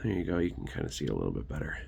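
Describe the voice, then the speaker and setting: A man's voice: rumbling low, very animated, racing, gravelly, a little mellow, slightly rough. A man with a congested, sick voice giving a casual, rambling show-and-tell as host of a collection channel.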